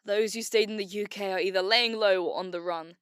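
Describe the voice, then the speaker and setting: A woman's voice: mid-range voice, fairly even intonation, a bit bright, clear, resonant tone, dry recording, clean studio sound, close to an everyday voice. A woman playing a resolute leader rallying her team in an audio drama. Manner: commanding, measured.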